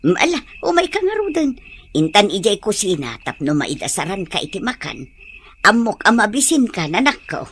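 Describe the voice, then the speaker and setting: A long-suffering, loving Ilocano mother in a radio drama. Her delivery is motherly and swings widely in pitch, with faint noise on the track. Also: normal speed. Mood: tender. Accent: Filipino, Ilocano. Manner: expressive, motherly. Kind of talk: Philippine radio drama acting.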